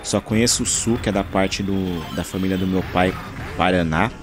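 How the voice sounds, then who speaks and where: A man's voice: low-pitched, steady tone, normal speed, over music. A man talking casually over his gameplay footage, rambling and searching for words, from Brazil. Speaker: gaming channel host.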